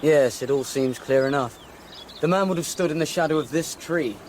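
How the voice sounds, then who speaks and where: An actor playing a matter-of-fact, reassuring investigator in a radio play. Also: male voice, a little high, normal speed, a little mellow, close to an everyday voice. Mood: analytical. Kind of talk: radio drama acting. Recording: outdoor ambient noise, birds. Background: outdoor ambience, birdsong.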